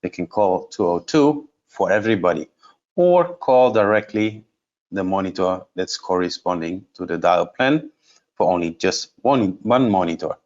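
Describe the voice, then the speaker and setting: Dull lo-fi audio, man, lowish voice, halting step-by-step trainer, software demo. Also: animated. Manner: halting, step-by-step, thinking aloud while navigating. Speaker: trainer presenting a product course. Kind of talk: software demonstration, unscripted explanation.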